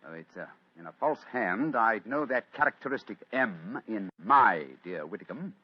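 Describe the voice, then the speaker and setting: A man's voice: lowish voice, lively intonation, moderate pace, breathy edge. An actor voicing a brilliant, wry detective in a 1940s radio play, dry and theatrical in his delivery. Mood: intent. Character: brilliant, wry male detective, sharp and amused. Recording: dull lo-fi old radio recording.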